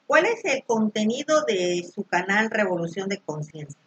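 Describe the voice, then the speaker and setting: A woman's voice: mid-range voice, very animated, moderate pace, slightly rough. A woman interviewing a guest, asking short, polite questions in a formal way. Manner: polite, brief, formal address. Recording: dull lo-fi sound.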